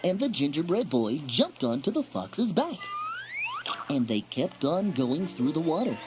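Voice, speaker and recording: A man reads a children's story at an unhurried pace. His narration is expressive and dramatic, rising and falling widely. Music plays clearly behind him. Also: a little high.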